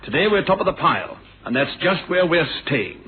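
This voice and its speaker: A man's voice: fairly high pitch, sing-song, fairly quick, narrow, tinny audio. A man acting a domineering, ruthless middle-aged company boss in a radio drama, with a curt, menacing manner. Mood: domineering anger. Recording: thin band-limited old radio, noisy.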